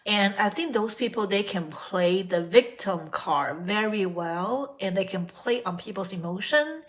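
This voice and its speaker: A woman hosting a casual reaction chat, her voice a little hoarse. She rambles and corrects herself mid-sentence in a loose, conversational way. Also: mid-range voice, expressive, measured pace. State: slightly hoarse. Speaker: channel host.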